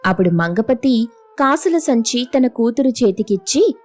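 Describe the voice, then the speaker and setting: A woman's voice: a little high, lively intonation, a little slow, darkish tone, faint music behind. A woman storyteller narrating a scripted moral tale.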